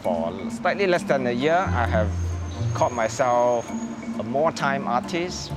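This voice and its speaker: A Singaporean man in his fifties, a muralist, speaking gently and self-deprecatingly in a documentary interview, chuckling often. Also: mid-range voice, animated, a little slow, over music.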